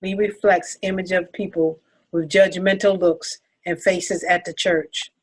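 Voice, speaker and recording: A woman's voice: on the low side, flat delivery, unhurried, husky, dry recording, poor audio. A woman reading scripted stage directions as the narrator at a table read.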